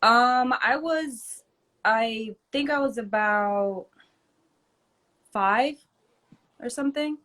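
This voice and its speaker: A young woman, a rapper born in Germany to Turkish parents and raised in the US, talking casually in an interview with lots of fillers and quick laughs. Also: on the high side, pushed and bright. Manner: chatty, laughs often, many fillers. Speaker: rapper and entrepreneur, guest.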